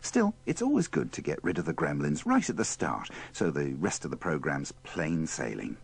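A man with a gravelly, husky voice presenting a compilation in wry, tongue-in-cheek scripted narration. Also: a bass voice, huge pitch swings, moderate pace. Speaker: presenter of a radio bloopers compilation.

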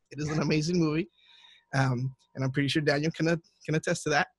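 A man's voice: fairly high pitch, gravelly, fairly bright tone, slightly rough, no echo. A young man hosting a webinar over a headset, reading out a guest's bio with many fillers. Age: young adult. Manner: reads a prepared bio with fillers. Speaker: student host. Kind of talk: webinar introduction.

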